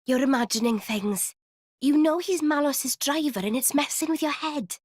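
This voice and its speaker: A high-voiced sassy companion. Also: female voice, lively intonation, moderate pace, a little mellow, dry recording, clean studio sound. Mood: dismissive, teasing. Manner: blunt, teasing. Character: sassy, scornful, bossy young female game companion. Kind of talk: video game cutscene acting.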